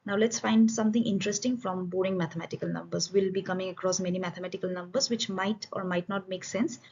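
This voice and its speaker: A dull, lo-fi recording carries a woman's husky voice. She presents research in a formal, explanatory way, phrasing it haltingly. Her pitch sits in the middle range for a woman. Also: fairly even intonation, darkish tone. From India. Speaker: student researcher presenting a study. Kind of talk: academic conference presentation.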